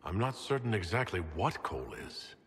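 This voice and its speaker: An actor playing a composed, diplomatic leader in a video game, speaking in a steady, considered way. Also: male voice, fairly low pitch, moderate pace, long reverb tail. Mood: resolute. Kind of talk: dramatic video game acting.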